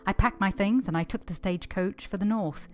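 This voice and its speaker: A woman's voice: mid-range voice, a little fast, darkish tone, soft background music, natural voice. A woman playing an earnest, steadfast young governess who tells her own story in a radio drama, restrained and sorrowful. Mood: sorrowful narration. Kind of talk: period radio drama narration and acting.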